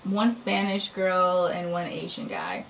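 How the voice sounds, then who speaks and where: A woman's voice: on the low side, sing-song, slow, creaky, fairly bright tone. Young woman host, casual storyteller, recorded a bit far from the mic. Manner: chatty, storytelling with many fillers. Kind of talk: casual chat. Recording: distant mic with faint noise.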